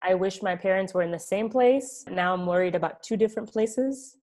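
A woman's voice: medium pitch, fairly quick, a little mellow. A woman in her late twenties, born in Egypt and raised in New York, talking candidly and reflectively as an interview guest, with many "like" fillers. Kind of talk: casual conversation.

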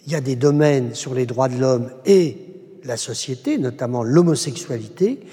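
A man interviewing an author, asking measured and probing questions. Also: medium pitch, animated, dark tone, in a large hall.

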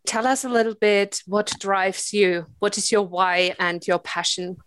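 A woman's voice: medium pitch, steady tone, unhurried, fairly bright tone, clear, resonant tone, no room sound. A woman hosting a casual podcast interview, chatting and putting questions to a guest. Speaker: podcast host.

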